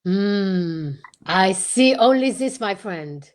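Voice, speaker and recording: A woman's voice: medium pitch, expressive, slow pace. A woman playing a courteous, self-assured male Belgian detective in an old-time radio style drama, theatrical and peppered with French phrases. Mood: calm, knowing.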